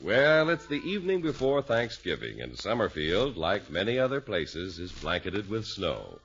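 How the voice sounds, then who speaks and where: A man's voice: lowish voice, wildly swooping pitch, moderate pace, music in the background. A man working as a 1950s radio announcer, with a polished, emphatic, promotional delivery. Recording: muffled 1950s radio recording.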